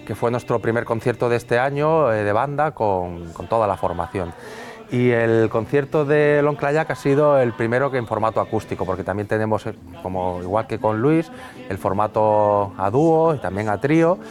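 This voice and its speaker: Male band member, low pitch, stumbling and repeating himself, faint music under. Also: lively intonation, normal speed. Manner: hesitant, many fillers and repeated words. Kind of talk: interview answers.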